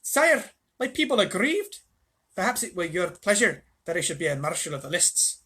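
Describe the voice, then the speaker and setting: A reader voicing a tiny male mouse warrior, shrill, proud and courtly, quick to defend his honour. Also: sing-song, pushed and bright, husky, exaggerated voice. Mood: eager, courtly. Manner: courtly, formal, touchy about honour.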